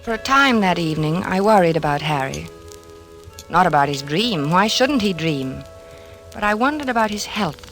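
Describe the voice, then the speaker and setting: An actress playing a patient, worried wife, gently questioning and coaxing in old-time radio drama style. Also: female voice, fairly low pitch, animated, normal speed, soft background music. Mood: reflective narration.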